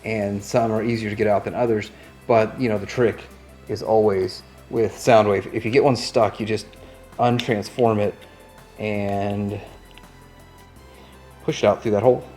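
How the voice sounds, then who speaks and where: A man's voice: on the low side, fairly even intonation, measured pace, long pauses, darkish tone. A man presenting an unscripted product review, rambling in an easygoing way as he thinks aloud while handling items, with music behind him.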